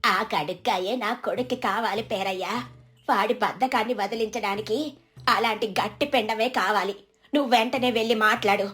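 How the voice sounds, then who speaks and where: A woman voicing a worried mother of grown sons, plaintive and exasperated, in an animated moral story. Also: medium pitch, moderate pace, faint music behind, natural voice. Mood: worried, pleading.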